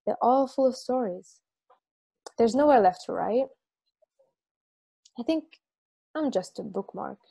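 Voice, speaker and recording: A woman's voice: on the high side, lively intonation, a little slow, creaky, pauses often, dark tone, slightly rough, exaggerated voice. A woman reading the part of a dreamy traveler, musing and wistful, at a video-call table read. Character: dreamy wandering storyteller woman.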